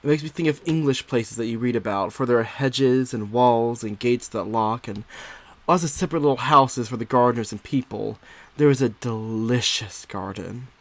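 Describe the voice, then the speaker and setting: A man voicing an anxious young Victorian wife confiding in her diary, in an expressive, dramatic audiobook reading with drawn-out words and pauses. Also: mid-range voice, close to an everyday voice. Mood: wistful.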